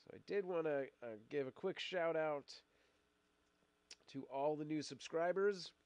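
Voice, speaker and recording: A man's voice: on the high side, measured pace, darkish tone, clear, resonant tone, dry recording. Middle-aged man live-streaming with a cold. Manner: rambling, many fillers and restarts, laughs often. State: fighting a cold. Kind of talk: casual live-stream chat, reading viewer comments.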